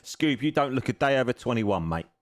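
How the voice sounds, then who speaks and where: A man's voice: medium pitch, animated, brisk. A man in his fifties chatting casually on a live stream while seated at a home racing simulator, self-deprecating and chuckling often. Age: 50s.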